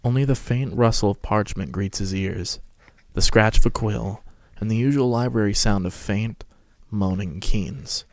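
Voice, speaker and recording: A man's voice: on the low side, measured pace, a little mellow, no room sound. A man narrating a scripted story as a podcast host, reading it dramatically.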